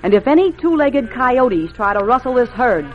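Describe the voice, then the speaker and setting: A woman's voice: on the high side, sing-song, normal speed. A woman playing a stubborn, principled ranch owner in an old radio western. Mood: firm, defiant. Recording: dull, hissy old radio recording.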